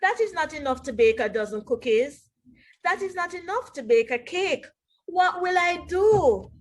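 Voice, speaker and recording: Storybook tomcat, played by a woman: high voice, eager, greedy and fretful, earnest delivery. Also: expressive, moderate pace. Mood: worried.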